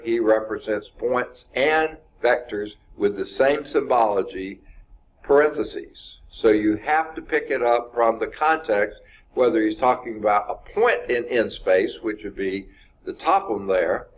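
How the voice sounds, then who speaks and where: A man's voice: medium pitch, slight background noise. A man teaching a math class in a classroom, sounding stuffed up from sneezing, talking conversationally and often checking in with "okay". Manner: conversational, repeats points, says okay often. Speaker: math instructor. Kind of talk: classroom lecture.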